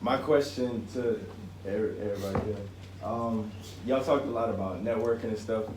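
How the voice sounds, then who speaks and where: A man's voice: fairly low pitch, a little fast. A young man from Atlanta, his voice worn from days of singing, rambles through a question at a campus panel. He is picked up from a distance in an echoey hall, with noise all around. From Atlanta, Georgia. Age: 20s. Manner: rambling, chatty. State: losing his voice. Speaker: student asking a question. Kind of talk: audience question. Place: university event hall. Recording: roomy hall, mic heard from a distance.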